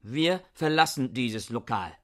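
An actor playing a suspicious, self-righteous middle-aged scholar in a radio play, with a husky, agitated delivery. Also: male voice, medium pitch, expressive, measured pace, a bit bright. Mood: indignant. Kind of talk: radio play acting in German.

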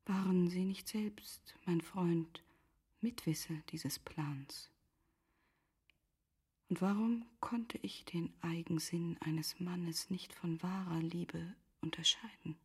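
A sorrowful, composed noblewoman in a radio drama. Her voice is lowish and extremely breathy, often close to a whisper, as she weighs her guilt in solemn, formal language. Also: steady tone, a little mellow, heavily acted. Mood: anguished self-reproach. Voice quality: very husky, breathy, half-whispered. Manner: solemn, measured, formal literary diction. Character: grieving, resigned noblewoman Charlotte, self-reproaching and composed. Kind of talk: literary radio drama acting.

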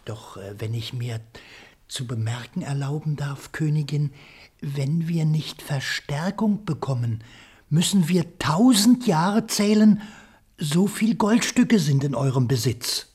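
A husky, deferential palace guard. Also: male voice, a little high, animated, darkish tone, exaggerated voice. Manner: military, deferential, halting. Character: obedient, timid guard general of the queen. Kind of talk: German radio play fairy-tale acting.

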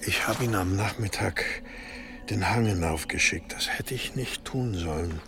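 An actor playing a commanding, secretive abbot in a radio drama, with an authoritative, urgent manner. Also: male voice, sits low, measured pace. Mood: grave, earnest. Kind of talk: German radio drama acting. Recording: studio radio drama with music underneath.

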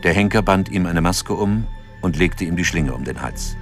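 An actor playing a narrator in a radio drama, reading scripted narration in a sober, measured and factual way. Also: male voice, low voice, over music, lightly acted.

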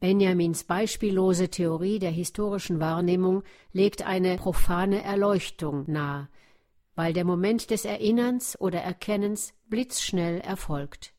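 A woman reading a scripted documentary narration in a deliberate, explanatory way. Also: on the low side, mellow.

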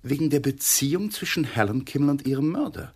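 An actor in a German radio crime drama playing an uneasy young lawyer, evasive, defensive and stiffly courteous under questioning. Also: male voice, mid-range voice, lively intonation, brisk, darkish tone, breathy edge.

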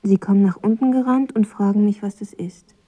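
Woman playing a husky, reserved young woman. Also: medium pitch, fairly quick, warm tone, natural voice. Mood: wistful, reflective. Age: young adult. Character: reserved, wistful young woman, the narrator's first love. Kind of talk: radio drama dialogue and letter reading.

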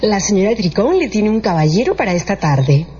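Woman acting coaxing faithful maid in a radio play, lively swoops, muffled sound w/ a bit of noise. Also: mid-range voice, a little fast, lightly acted. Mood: eager, respectful. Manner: deferential, coaxing. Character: faithful, solicitous maid to a courtesan. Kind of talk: Spanish-language radio drama acting. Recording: muffled audio, faint background noise.

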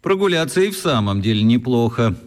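A man's voice: mid-range voice, very animated, a little fast, close to an everyday voice. An actor playing a busy middle-aged father and office chief, dryly teasing, in a children's radio play. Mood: surprised, jovial.

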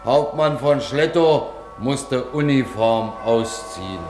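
Slow folksy storyteller, echoey. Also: male voice, mid-range voice, expressive, loud music behind the voice. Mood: declaiming. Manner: folksy, ungrammatical, showman-like announcing. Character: folksy storyteller announcing each chapter of the tale. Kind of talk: deliberate narration in 1950s radio play. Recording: roomy echo on old radio recording.

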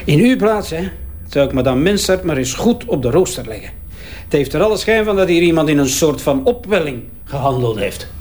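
Flemish forensic investigator in a crime drama: chatty, wry, fairly high voice, bantering while he works. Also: big pitch swings, brisk, darkish tone. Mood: sardonic, amused. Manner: wry, bantering, hesitating as he examines. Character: talkative, sardonic male crime-scene forensic investigator. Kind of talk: naturalistic audio crime drama acting.

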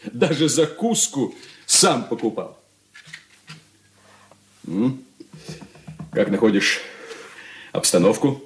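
A man's voice: mid-range voice, big pitch swings, slow pace, pauses often, slight background noise. An actor playing an irritable, pompous grown son who bosses his family in a 1980s Soviet radio play, in a roomy studio. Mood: weary, haughty. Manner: peevish, haughty, emphatic.

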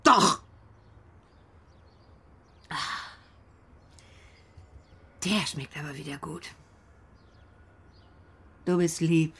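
Husky, querulous old mother. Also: deep voice, drawn-out words, pauses often, a bit bright, exaggerated voice. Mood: stubborn, softer. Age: older. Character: frail, stubborn, complaining old bedridden mother. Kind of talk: naturalistic German radio drama acting.

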